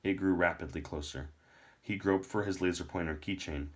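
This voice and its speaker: Guy with a deep low voice reading a storybook out loud, narrator style. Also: fairly even intonation, moderate pace. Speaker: narrator reading a novel aloud. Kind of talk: storybook read-aloud narration.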